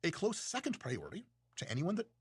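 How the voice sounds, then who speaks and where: A man's voice: high-pitched, huge pitch swings, fast, breathy edge, no room sound, studio quality. A man from Russia, giving a casual, rambling talk on philosophy in a profane, self-mocking way. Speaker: video creator. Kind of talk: casual monologue lecture.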